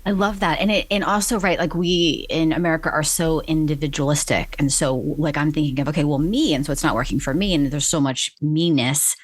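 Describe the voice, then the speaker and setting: A woman's voice: low voice, fairly quick, hardly any pauses, no room sound. A woman hosting a podcast interview, asking questions in an encouraging, affirming manner. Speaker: podcast host. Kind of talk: conversational interview.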